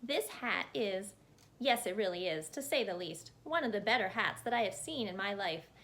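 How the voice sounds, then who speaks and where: A woman voicing an excitable storybook chatterbox man who rambles on breathlessly and keeps interrupting himself. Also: medium pitch, moderate pace. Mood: rambling, admiring.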